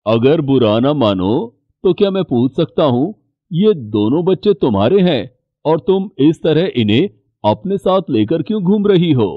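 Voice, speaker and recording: A man voicing a kind, polite middle-aged bangle merchant in an animated story. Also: a little high, a little slow, dry recording, lo-fi sound. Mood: kindly. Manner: polite, sympathetic.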